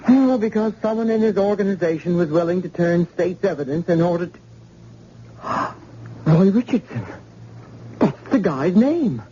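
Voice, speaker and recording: A woman playing a sharp-tongued, overworked nurse. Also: on the low side, expressive, a little hiss or hum, close to an everyday voice. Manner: crisp and quick to bristle. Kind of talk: 1950s radio drama acting. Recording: muffled old radio recording.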